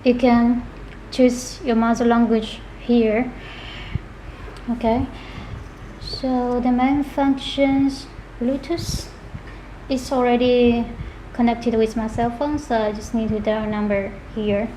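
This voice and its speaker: A woman giving an unscripted product demonstration, talking in a halting way and going through items one by one. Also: a little high, little pitch movement, dark tone, a little hiss or hum, low-quality recording. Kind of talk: unscripted product demo walkthrough.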